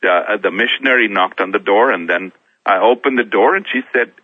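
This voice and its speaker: A middle-aged man from Kenya, a guest on a talk show, telling his own story in a halting way, often restarting his words. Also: fairly low pitch. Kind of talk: personal testimony in a talk show interview. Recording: thin phone-like sound, dry.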